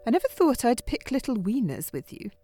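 A woman's voice: a little high, big pitch swings, brisk, warm tone, no echo, soft background music. A woman playing a vain, flirtatious, competitive glamorous friend in an audio drama, theatrical and teasing. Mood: arch, indignant.

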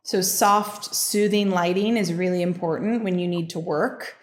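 A young adult woman hosting a podcast, explaining a reading in a casual, chatty way, with frequent fillers and quick asides. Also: lowish voice, steady tone. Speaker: podcast host giving a reading. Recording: roomy echo.

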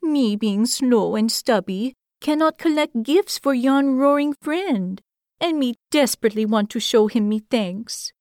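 A meek little storybook hedgehog speaks in a raised, hushed voice with an odd, slightly distant tone, as a woman puts him on. He talks slowly and shyly, in quaint broken grammar. The sound is close and dry. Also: fairly high pitch, animated, dry recording, pitched above the performer's own voice. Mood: pleading. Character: shy timid little male hedgehog.

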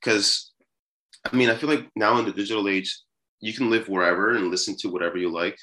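A young man with a very low but bright, forceful voice talks about his music in a loose, rambling way. He leans on fillers and restarts as he thinks aloud. It sounds like a casual sit-down interview. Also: brisk, slight background noise, poor audio. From Peru. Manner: relaxed, rambling, many fillers. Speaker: musician guest.

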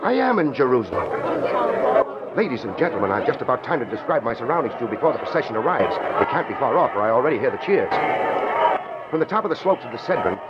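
An actor playing an awestruck reporter in an old-time radio drama, dramatic, halting and full of wonder. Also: male voice, medium pitch, very animated, a loud crowd, lightly acted. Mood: amazed. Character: awestruck male radio reporter transported to ancient Jerusalem. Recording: noisy, dull old radio recording.

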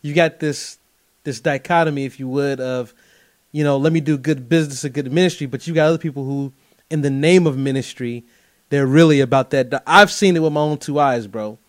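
A man's voice: medium pitch, fairly even intonation, brisk, no room sound, studio quality. A man hosting a podcast in casual conversation, talking loosely with "bro" and restarting his words as he works into a question. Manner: conversational, uses "bro", stammers restarts when starting questions. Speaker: podcast host.

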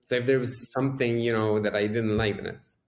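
Romanian founder, 30s, halting and wry, echoey room sound. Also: male voice, medium pitch, steady tone. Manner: hesitant, many restarts, dry humor. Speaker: startup founder, guest. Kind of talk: casual interview answers.

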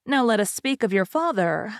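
A woman's voice: mid-range voice, lively intonation, moderate pace, no room sound, clean studio sound, voice pushed up, natural voice. A woman voicing a vain, chatty old fairy princess in a storybook, gushing and rambling on tactlessly but good-naturedly. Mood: nosy, chatty.

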